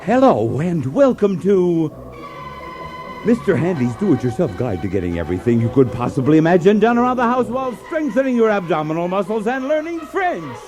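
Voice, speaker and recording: Parody radio: eager instructional-show announcer, highish voice, extremely animated delivery, clear music bed. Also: male voice, fairly quick. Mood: eager, grandiose. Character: gushing, eager announcer-sidekick of DIY show.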